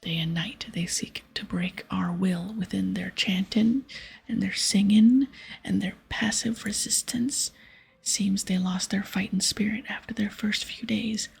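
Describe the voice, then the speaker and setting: A woman voicing a gruff, dramatic male pirate captain in archaic sea-dog talk, half-whispered as in an ASMR game read-aloud. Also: mid-range voice, fairly even intonation, a little slow, exaggerated voice, low-quality recording. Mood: conspiratorial. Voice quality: breathy, half-whispered, gravelly. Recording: soft music behind.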